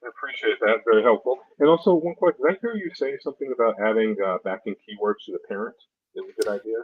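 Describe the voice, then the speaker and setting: A polite man asking a question over a video call through a headset, speaking quickly with slight hesitation. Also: medium pitch, lively intonation, husky. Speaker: caller with a question. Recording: phone-like video call audio.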